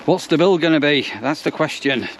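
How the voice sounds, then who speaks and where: Man, English accent, slightly high voice, rambling delivery, casual vlog narration. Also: a little fast, slight background noise. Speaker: vlogger. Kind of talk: casual vlog chat to camera.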